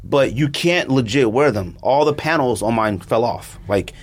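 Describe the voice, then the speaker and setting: A man co-hosting a casual podcast, talking in relaxed slang and getting animated as he counts down his favorites. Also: mid-range voice, normal speed, slightly rough, no room sound. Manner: laid-back slang, animated when counting down. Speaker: podcast co-host. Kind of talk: casual chat about sneakers.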